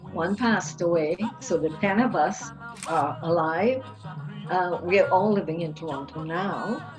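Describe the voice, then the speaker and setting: An older woman with a Trinidadian accent, telling her life story in an interview, in a reflective, unhurried way. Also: fairly low pitch, poor audio. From Trinidad and Tobago.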